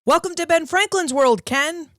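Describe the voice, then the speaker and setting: A woman's voice: very high-pitched, moderate pace, fairly bright tone, dry recording, studio quality. A woman hosting a history podcast, reading a scripted introduction and then welcoming and questioning her guest in an upbeat, friendly way. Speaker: podcast host.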